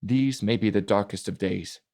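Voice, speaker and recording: A man voicing a bold, passionate young prince in an audiobook, making deliberate, commanding speeches. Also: on the low side, vocal fry, mellow. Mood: impassioned. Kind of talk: dramatic audiobook character reading.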